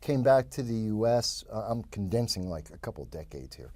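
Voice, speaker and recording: Fifties New Yorker, interview guest, husky and loose, full of restarts. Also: male voice, fairly low pitch, very animated, normal speed, darkish tone, no echo. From the Bronx, New York. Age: 50s. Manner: rambling, frequent fillers and restarts. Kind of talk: casual interview talk.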